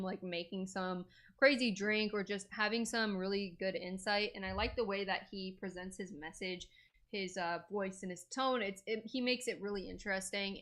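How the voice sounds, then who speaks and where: A woman's voice: mid-range voice, bright, forceful tone. A woman hosting a crypto video, chatting casually with lots of fillers and strong opinions. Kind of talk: casual commentary.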